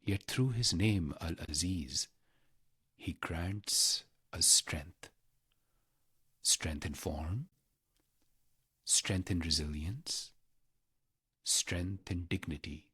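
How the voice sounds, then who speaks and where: A man guiding a meditation in a measured, reverent manner, pausing deliberately between short phrases. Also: low-pitched, bright, forceful tone, breathy edge, no echo, clean studio sound. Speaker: meditation guide. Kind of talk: guided meditation narration.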